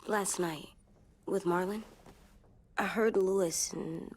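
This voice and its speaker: A small boy's childlike voice, plain-spoken and halting. He is earnest and troubled, in a naturalistic game drama, over faint background ambience. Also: lowish voice, fairly even intonation, darkish tone, slight background noise. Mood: troubled, questioning. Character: small earnest little boy, troubled and questioning.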